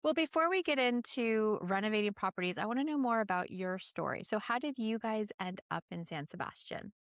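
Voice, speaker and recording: A woman speaks as a podcast host in a conversational interview style. Her pitch sits in the middle range for a woman. The sound is dry, with very little room. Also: lively intonation, brisk, dry recording.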